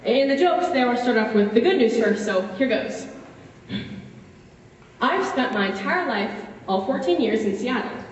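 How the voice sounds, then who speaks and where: A wry, wistful fourteen-year-old boy played by a woman confides his story in a dramatic stage monologue. The voice is fairly high and conversational, broken by dramatic pauses. It rings through a very echoey hall, picked up from a distance. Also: animated, close to an everyday voice. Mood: wry, chatty. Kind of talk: solo dramatic monologue, direct address. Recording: echoey hall, distant, muffled, faint noise.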